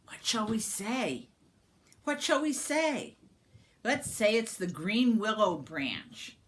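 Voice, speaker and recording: A haughty young woman from a storybook, acted out by a female reader, vain and boastful. She pushes and insists in a lively, swooping voice and is easily flustered when questioned. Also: fairly high pitch, slow pace. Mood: flustered, scheming. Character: two haughty, vain older sisters, young women, boastful and demanding.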